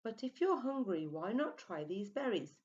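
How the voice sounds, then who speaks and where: Woman as a bossy, cheerful, raised-pitch storybook sheep. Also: big pitch swings, moderate pace, darkish tone, muffled recording, natural voice. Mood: instructing. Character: bossy, cheerful female sheep leading a baking party. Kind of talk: children's picture-book read-aloud.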